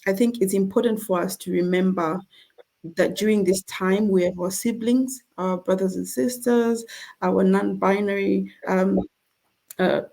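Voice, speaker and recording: A middle-aged woman from Zimbabwe leads an online conversation for a charity. Her voice is low and mellow with a gravelly edge. She speaks earnestly, with many fillers and restarts. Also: fairly even intonation, unhurried, low-quality recording. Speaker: charity representative and host.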